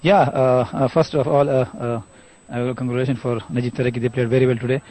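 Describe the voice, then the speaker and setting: Flat, mellow Afghan-accented man, very fast. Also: mid-range voice, rough recording. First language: Pashto. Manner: hesitant broken English, many uh's, religious phrases. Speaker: winning team captain. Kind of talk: post-match interview answers.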